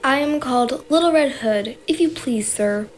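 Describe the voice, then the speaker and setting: A woman's voice: high voice, normal speed, slight background noise, natural voice. An actress playing a polite, innocent little girl in an audio fairy tale, sweet and careful in her courtesy. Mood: shy, polite.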